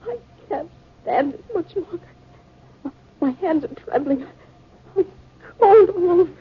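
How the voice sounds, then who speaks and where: An actress playing a terrified young wife in a 1950s radio drama, breathless, tremulous and melodramatic. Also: female voice, very high-pitched, measured pace. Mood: tense, revolted. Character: terrified young wife trapped with giant insects. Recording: muffled old 1950 radio recording.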